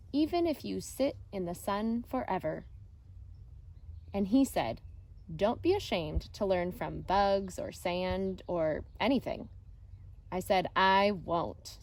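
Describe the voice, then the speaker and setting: Female storybook reader, teacherly, very unhurried, mid-pitched, expressive phrasing for children. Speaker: elementary teacher reading a picture book aloud. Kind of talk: storybook read-aloud narration for children. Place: outdoors.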